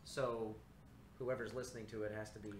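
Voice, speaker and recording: A man's voice: fairly low pitch, flat delivery, normal speed, slight background noise. A man voice actor hosting a casual livestream chat, easygoing and laughing often as he thinks aloud. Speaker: voice actor and stream host. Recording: roomy echo, faint ambience.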